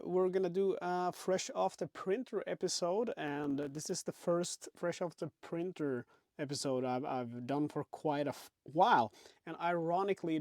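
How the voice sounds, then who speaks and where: A man hosting a board game channel, talking casually through a game's components, his voice raspy from illness. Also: a little high, no echo, clean studio sound. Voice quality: raspier than usual. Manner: relaxed, many fillers and restarts. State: sick with a cold-like illness, raspy.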